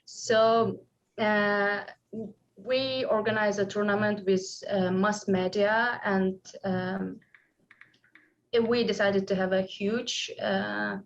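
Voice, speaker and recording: A woman with an Uzbek accent talks as a guest in an online chess class. Her English comes in halting bursts full of "like" and "uh," broken by cheerful laughter. The call audio is dull and lo-fi, with faint noise underneath. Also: mid-range voice, fairly even intonation, vocal fry.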